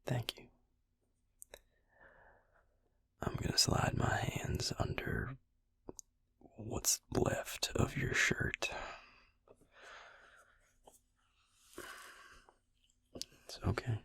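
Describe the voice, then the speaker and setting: Casting: male actor as gentle, wry vampire healer, intimate audio roleplay. Slow, heavily creaky, breathy murmur with folksy tenderness. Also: on the low side, very animated, heavily acted. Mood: gentle, soothing. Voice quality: breathy, husky near-whisper. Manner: slow, soothing, folksy endearments.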